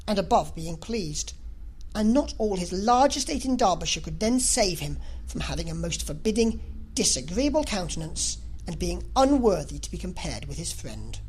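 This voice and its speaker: A woman's voice: medium pitch, slightly rough, poor audio. A woman reading a classic novel as an audiobook narrator, in a scripted literary style.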